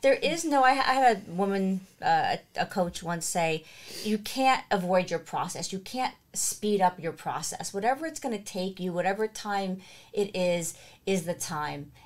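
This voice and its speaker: A woman's voice: mid-range voice, lively intonation, normal speed. A woman co-hosting an interview, chatting casually and quoting what other people say. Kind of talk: conversational interview chat.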